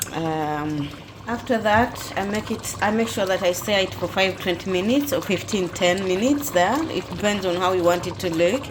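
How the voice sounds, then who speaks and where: A woman's voice: mid-range voice, a little hiss or hum. A young Ugandan woman hosting a casual cooking vlog in her home kitchen, chatting her way through each step and often correcting herself mid-sentence. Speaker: vlogger.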